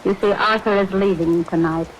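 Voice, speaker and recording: An actress plays a cold schemer from a vintage radio mystery, mid-pitched and commanding. She interrogates in short, insistent phrases. The aged recording carries slight hiss with rain behind it. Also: fairly even intonation, normal speed, dull audio, close to an everyday voice. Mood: scheming, brisk. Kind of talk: vintage radio thriller acting.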